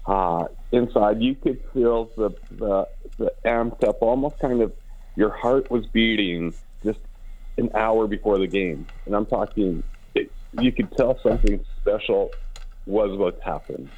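Raspy low-voiced man on a phone-like line. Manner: halting, repeats words as he gathers his thoughts. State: hoarse and short of sleep after celebrating. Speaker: interview guest, hockey team co-owner and businessman. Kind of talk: casual radio interview. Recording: dull, phone-like band-limited line.

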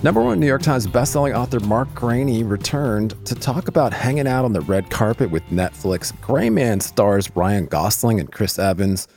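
A man speaks as a podcast host in a notably deep register. His intonation moves widely from phrase to phrase, and the sound is dry, with no room around it. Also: vocal fry, darkish tone, no room sound. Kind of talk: scripted podcast intro and ad reads, interview chat.

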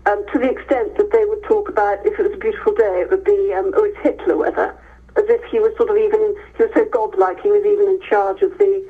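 A woman's voice: medium pitch, expressive, fast, narrow, tinny audio. An English woman, middle-aged or older, answering as a guest author in conversation, telling stories with emphatic repetition. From Hereford, England, near the Welsh border.

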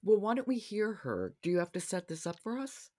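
A woman's voice: mid-range voice, sing-song, fairly quick, darkish tone. A woman hosting a conversational interview, responsive and inquisitive. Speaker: host.

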